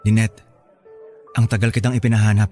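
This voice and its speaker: A man's voice: fairly low pitch, flat delivery, a little fast, a little mellow, soft background music, natural voice. An actor with a Filipino accent playing a remorseful middle-aged father, imploring and earnest in a radio drama. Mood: guilty, pleading.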